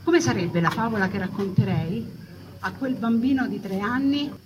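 A woman tells a story as a stage monologue. Her voice sits at a medium pitch, and she speaks conversationally, stacking emphatic phrases with dramatic pauses. She is picked up from a distance, with clear ambient noise around her. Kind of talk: theatrical narrative monologue to an audience. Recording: distant mic, noisy live ambience.

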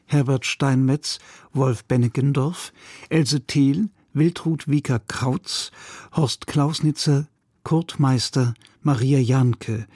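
A man's voice: mid-range voice, a little mellow, slightly rough, no room sound, clean studio sound. A man reading a formal scripted announcement as a radio announcer.

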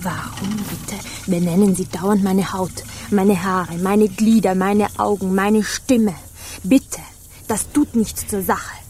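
A mellow, medium-pitched young female sailor voiced by an actress speaks in a radio drama. She is proud and aloof, keeping a formal, guarded distance, with slight noise behind her. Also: fairly even intonation, takes it slowly, natural voice. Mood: indignant, restrained. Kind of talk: theatrical radio drama acting.

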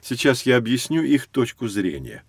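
An actor playing a learned professor, explaining in a deliberate storytelling way in an educational audio drama. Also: male voice, mid-range voice, big pitch swings, slightly rough, no echo. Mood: patient, instructive. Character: learned male professor guiding children through prehistory.

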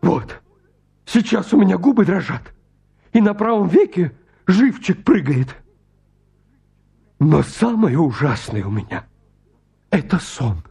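A man's voice: sits high, very animated, slow, gravelly, long pauses, dark tone, slightly rough, exaggerated voice. An actor playing a flustered, stammering bachelor suitor in his thirties, anxious and hypochondriac, in a comic radio play. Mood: anxious, agitated. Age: 30s. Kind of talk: stage comedy acting in a radio play.

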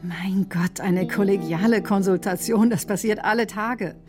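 A woman's voice: mid-range voice, moderate pace, over music, natural voice. An actress playing an earnest, defensive doctor who tells her own story in a radio drama. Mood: defensive recounting. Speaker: doctor, first-person narrator. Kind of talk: radio drama narration and dialogue.

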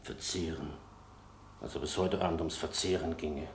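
An actor playing a stern, formal café innkeeper with an emphatic, curt way of talking, in a German radio play. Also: male voice, a bass voice, fairly even intonation, moderate pace. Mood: scornful. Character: surly, stern café innkeeper, fussily polite to regulars. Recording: roomy echo, background noise.

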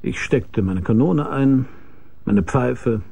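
Gravelly, mellow private detective. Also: male voice, medium pitch, takes it slowly, heavily acted. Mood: wry narration. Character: wry hard-boiled male private detective. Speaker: lead actor and narrator. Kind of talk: radio crime drama, first-person narration.

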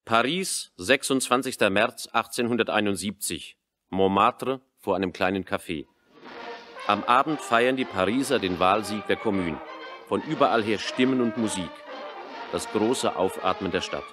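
A man's voice: on the low side, normal speed, over music, lightly acted. A man narrating a radio drama in a factual, reportorial manner, setting historical scenes in terse scripted phrases. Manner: reportorial, telegraphic delivery of dates and stage directions.

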